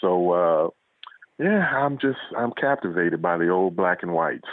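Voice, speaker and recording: A man's voice: sits low, lively intonation, a little slow, dry recording. A middle-aged man, an author being interviewed, telling his story in a casual conversational way. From United States. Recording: dull, lo-fi radio-like line.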